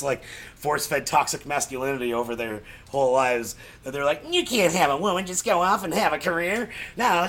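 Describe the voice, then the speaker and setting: A man's voice: medium pitch, fairly bright tone. A man talking informally in a casual film discussion, opinionated and loose with his language. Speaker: podcast panelist.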